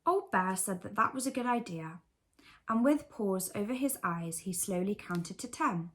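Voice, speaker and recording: A woman's voice: mid-range voice, expressive, moderate pace. Casting: woman storyteller with a mid-range voice for reading children's bedtime stories. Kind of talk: scripted children's story narration.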